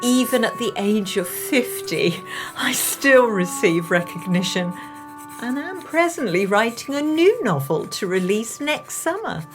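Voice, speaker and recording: Actress as slow-speaking, earnest genteel young Englishwoman. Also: a little high, sing-song, over music, poor audio. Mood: fond, resolute. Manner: formal, carefully enunciated, heartfelt. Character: earnest, genteel young Englishwoman of 1910s, romantic, independent-minded. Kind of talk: period radio drama acting, diary narration.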